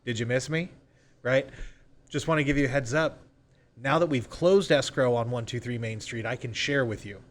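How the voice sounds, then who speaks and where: A man's voice: medium pitch, normal speed. A man speaking as a real estate agent in a conversational podcast interview, informally explaining and acting out example sales calls. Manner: informal, role-plays example phone calls.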